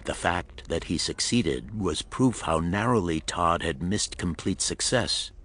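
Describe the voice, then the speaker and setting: A man's voice: low voice, measured pace, fairly bright tone. A man narrating a pulp thriller audiobook in a dramatic, scripted storytelling style.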